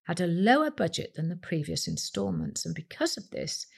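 A British woman hosts a quiz podcast in a husky, creaky voice. Her pitch sits a little low but swings widely as she reads out questions and reveals answers. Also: huge pitch swings, moderate pace. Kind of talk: scripted quiz narration addressed to listeners.

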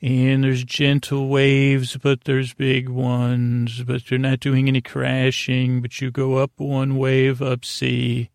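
A man hosting a bedtime podcast with a whimsical, meandering, halting monologue that often trails off, in a gravelly voice. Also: mid-range voice, monotone, no room sound, studio quality. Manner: whimsical, talks to an imaginary guest. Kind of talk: rambling improvised bedtime monologue.

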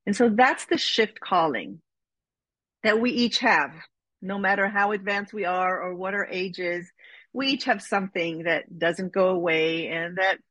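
An earnest middle-aged woman from Israel speaks as an interview guest. Her voice is husky, and she lingers on key phrases. The recording is dry and close. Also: mid-range voice, fairly bright tone, dry recording. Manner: earnest, reflective, slow with emphatic phrasing. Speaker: guest, spiritual teacher. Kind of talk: interview conversation.

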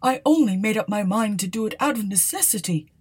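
A woman's voice: medium pitch, lively intonation, a little fast, husky, no room sound, voice pushed up. A woman voicing a frightened husband pleading for mercy, earnest and raised.

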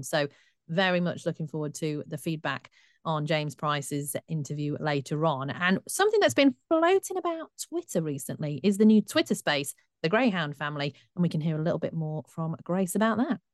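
A woman's voice: sits low, lively intonation, no room sound, studio quality. Upbeat woman co-hosting a podcast. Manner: brisk and upbeat, presenting the show. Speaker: co-host. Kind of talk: casual podcast chat.

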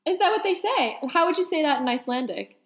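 A woman's voice: high-pitched, very animated, fast talker, a bit bright. A young adult woman from New York City, chatting playfully and wryly as a podcast co-host. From New York City, USA. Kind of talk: casual chat.

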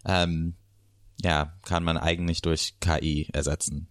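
Deep-voiced man, close and dry. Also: little pitch movement, unhurried, no room sound. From New York, United States. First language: English. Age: 30s. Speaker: guest, former lawyer turned comedian. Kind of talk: casual conversation, telling own story.

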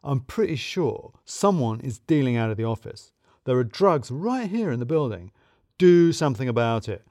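A man's voice: mid-range voice, sing-song, normal speed, mellow, dry recording, studio quality, lightly acted. A man voicing a worried male coworker's blunt, wry farewell note. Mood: urgent.

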